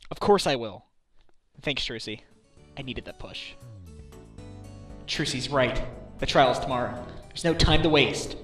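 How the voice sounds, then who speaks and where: Man acting troubled defense lawyer, earnest, brooding, self-doubting father, game fan dub, mid-range pitch, soft background music. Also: big pitch swings, unhurried. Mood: resolved. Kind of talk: dramatic visual novel dubbing.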